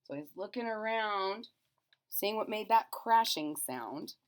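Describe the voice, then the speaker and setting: Female children's storyteller: expressive, animated intonation, unhurried pace, simple explanatory asides for young listeners. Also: medium pitch.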